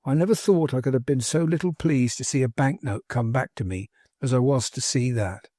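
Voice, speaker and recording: A man voicing a proud, hesitant young gentleman in a storybook, speaking formally and earnestly in measured phrases. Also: medium pitch, no room sound, studio quality, lightly acted. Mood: rueful. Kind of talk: dramatised audiobook character dialogue.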